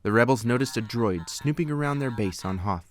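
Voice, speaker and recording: A man with a medium-pitched voice tells a scripted storybook tale. He reads as the narrator, and there is clear background noise on the recording. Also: lively intonation, a little fast.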